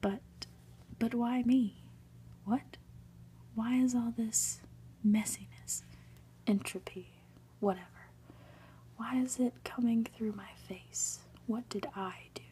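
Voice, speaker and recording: Woman whispering as a bewildered, mellow-voiced anxious man. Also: on the high side, steady tone, takes it slowly, long pauses, slight background noise, low-quality recording. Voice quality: breathy whisper. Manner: hesitant, plaintive questioning. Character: Dave, anxious bewildered ordinary man seeking answers.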